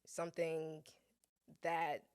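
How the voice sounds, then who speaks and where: A woman's voice: low-pitched, monotone, drawn-out words, a little mellow, smooth. A young woman chef, born in Ghana and raised in the US South, chatting playfully as a podcast guest and laughing often. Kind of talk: casual conversation.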